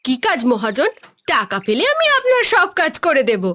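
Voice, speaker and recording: A woman's voice: sits high, huge pitch swings, normal speed, bright, forceful tone, heavily acted. A woman voicing a sly, greedy, spiteful village woman in a cartoon folk tale. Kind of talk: Bengali cartoon fairy-tale voice acting.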